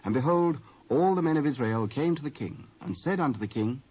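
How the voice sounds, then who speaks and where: A British man narrating scripture from a script in a formal, measured storytelling manner. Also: mid-range voice, lively intonation, a little mellow, husky. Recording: muffled old cassette.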